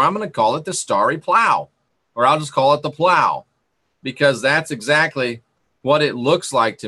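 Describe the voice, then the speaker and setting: A man's voice: mid-range voice, bright, forceful tone. A man hosting an informal live talk, musing aloud to viewers in a rambling, opinionated way and repeating points for emphasis. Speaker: host of an esoteric talk livestream.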